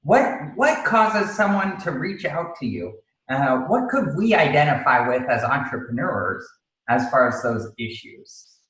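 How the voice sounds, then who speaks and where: A man's voice: on the high side, animated, a little slow, fairly bright tone. A man hosting a podcast, introducing his guest in an upbeat, enthusiastic way. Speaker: podcast host. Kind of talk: hosted interview introduction. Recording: very echoey room.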